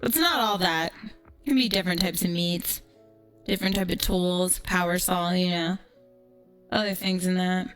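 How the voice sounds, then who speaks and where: A woman's voice: on the low side, fairly even intonation, moderate pace, a bit bright, soft background music. A woman streamer chatting casually and ranting in a brash, profane, sarcastic way. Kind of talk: casual livestream chat with ranting storytelling.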